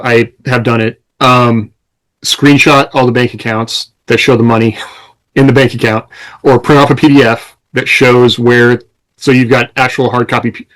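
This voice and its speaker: A man's voice: mid-range voice, a bit bright, poor audio. A man talking casually as a podcast co-host, explaining things in a rambling way. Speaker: podcast co-host and self-employed writer.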